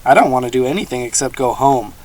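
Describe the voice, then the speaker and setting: A man's voice: medium pitch, lively intonation, fast talker, darkish tone, close to an everyday voice, poor audio. A man voicing a nervous, suspicious young boy who asks wary questions.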